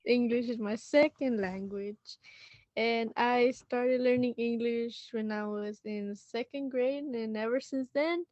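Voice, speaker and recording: A woman's voice: on the high side, steady tone, takes it slowly, darkish tone, no room sound, lo-fi sound. A woman whose first language is Spanish, a laid-back podcast guest who repeats words as she gets started. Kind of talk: casual podcast chat.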